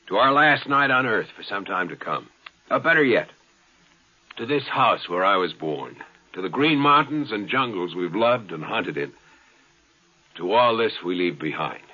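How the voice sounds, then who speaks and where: An actor playing a hearty, insistent space explorer with an earnest, probing manner in a 1950s radio drama. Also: male voice, fairly low pitch, lively intonation, normal speed, sharp tone. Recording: dull, lo-fi 1950s radio recording.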